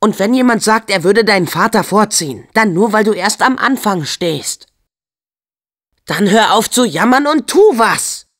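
A man playing an upbeat, brave, earnest teenage boy hero in a video game dub, with an eager, expressive, youthful delivery. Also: high-pitched, moderate pace, big-room sound.

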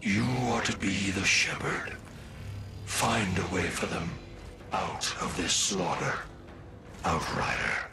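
Gravelly, commanding god-like warrior. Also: male voice, low voice, slow pace, pauses often, over music. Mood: solemn. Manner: portentous, commanding. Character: imposing, grim god-like superhuman older male warrior. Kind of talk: dramatic video game cutscene acting.

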